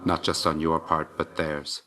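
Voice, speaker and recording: A man voicing a stern, reserved and dutiful god of death in a video game, speaking in a formal, measured way. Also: rumbling low, flat delivery, pushed and bright, heavily acted. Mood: wry, stern. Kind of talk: video game character voice lines.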